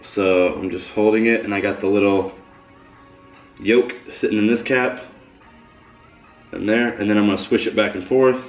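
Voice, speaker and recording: A man's voice: on the low side, steady tone, moderate pace, pauses often, highs cut off, faint music behind. Young man explaining his cooking. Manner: plain step-by-step explaining. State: stuffed up with a cold. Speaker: fitness vlogger. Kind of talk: casual how-to vlog narration. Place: small home kitchen and bedroom, indoors.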